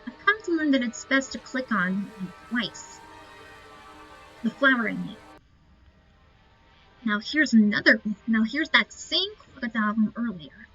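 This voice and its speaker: Muffled, high-pitched woman gamer. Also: lively intonation, unhurried, pauses often, sharp tone. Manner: thinks aloud in short musing remarks. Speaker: gamer recording a playthrough. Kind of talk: casual game commentary. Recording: muffled lo-fi game capture. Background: game music.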